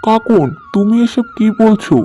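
A man's voice: high-pitched, normal speed, mellow, natural voice. A man playing a proud, wronged young husband in a cartoon story. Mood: hurt, defiant. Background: background music.

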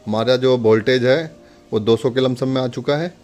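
A man's voice: mid-range voice, moderate pace, darkish tone, faint music behind. An Indian man giving a casual step-by-step product demonstration, instructing viewers in a friendly, direct address.

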